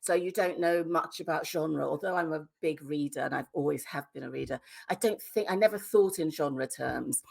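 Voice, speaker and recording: Female author, British accent, lower register with noticeable gravel, outspoken interview delivery. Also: darkish tone. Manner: opinionated, emphatic, plain-spoken. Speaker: guest, debut novelist. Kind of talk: conversational interview answers.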